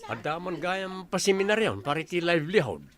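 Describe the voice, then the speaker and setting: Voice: medium pitch, sing-song, brisk, close to an everyday voice. An actor playing a nagging, teasing village husband in a comedy radio drama, with an Ilocano accent. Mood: casual, urging. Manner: teasing, scolding.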